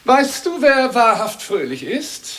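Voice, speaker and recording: An actor playing a placid academician who spouts banal truths and drily needles, in an absurdist radio comedy. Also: male voice, extremely high pitch, very animated, takes it slowly, fairly bright tone, exaggerated voice. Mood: sententious. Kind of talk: absurdist comic radio play acting.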